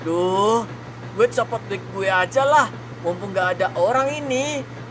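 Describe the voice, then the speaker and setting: A man's voice: high voice, measured pace, noisy background. A man playing a defiant young male student and worker in a cartoon drama, with a slangy, argumentative manner. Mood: relaxed muttering. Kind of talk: animated cartoon drama dialogue. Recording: music behind the voice.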